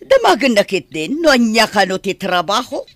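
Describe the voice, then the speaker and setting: A performer playing a sharp-tongued, evasive in-law parent in a radio drama, answering in a snappish, defensive way. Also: mid-range voice, very animated, normal speed, no echo. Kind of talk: amateur radio drama acting.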